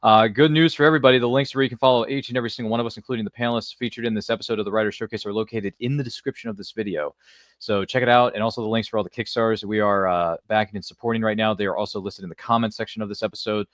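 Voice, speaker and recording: A man's voice: fairly low pitch, fairly even intonation, racing, dry recording, studio quality. A man hosting an online panel show in a casual, jokey and effusive way, often repeating himself for emphasis. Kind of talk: casual hosting banter and sign-off.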